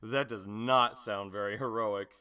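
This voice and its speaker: Casting: brash, spirited teen superhero student for an anime dub, forceful and bright, punchy delivery, performed by a man. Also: lowish voice, fairly even intonation, a little slow, smooth. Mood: teasing, disapproving. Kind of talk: anime dub audition acting.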